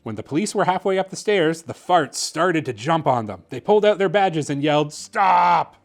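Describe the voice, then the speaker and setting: A man hosting and narrating a children's bedtime story in an expressive, theatrical way. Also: fairly high pitch, brisk, soft background music. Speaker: host reading children's books aloud.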